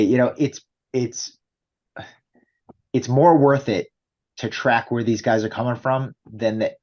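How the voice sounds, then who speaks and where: Slightly muffled, lo-fi audio carries a man's voice at an average pitch. He explains things conversationally as a company executive being interviewed, often checking in with short rhetorical questions. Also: a little mellow.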